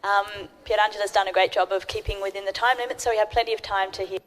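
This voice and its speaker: A woman's voice: mid-range voice, flat delivery, a little hiss or hum, rough recording. A woman chairing a conference session, thanking the speaker and opening questions. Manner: brisk, thanking and moderating. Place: conference hall.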